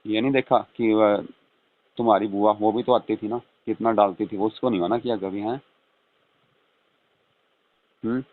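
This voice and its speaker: The speaker is a middle-aged man with a fairly low-pitched voice. He talks informally and often ends statements with a short question. The sound is narrow and thin, as on a telephone call. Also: steady tone, moderate pace, long pauses. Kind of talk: casual phone chat. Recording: tinny, narrow phone-call audio.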